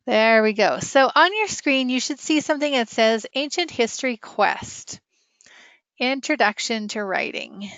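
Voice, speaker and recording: A woman's voice: fairly high pitch, expressive, a little slow, a bit bright, no room sound. A woman teaching a casual, interactive online writing lesson, chatty and full of questions for her students. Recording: dull, lo-fi online class audio.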